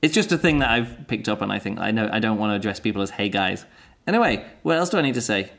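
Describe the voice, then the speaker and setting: A man's voice: fast talker, creaky. A man hosting a podcast, chatting casually about books and doing playful impressions. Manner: animated, mimics voices in high pitch. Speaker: podcast host. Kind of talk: casual book-review chat.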